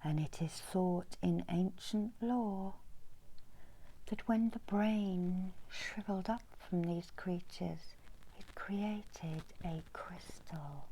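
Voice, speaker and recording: Whispering woman, very slow guided meditation. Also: fairly low pitch, dark tone, a little hiss or hum, low-quality recording. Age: middle-aged. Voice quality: breathy whisper. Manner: slow, deliberate, pausing between short phrases. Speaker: reiki healer guiding a meditation. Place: small indoor room.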